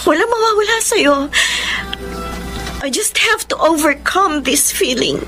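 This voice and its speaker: A woman in a radio drama playing a lovesick, tearful young soul with a halting, emotional delivery. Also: very high voice, animated, pushed and bright, faint music behind, exaggerated voice. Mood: pained, resigned. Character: lovesick, tearful young confessor, tender and self-sacrificing. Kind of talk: Filipino radio drama acting.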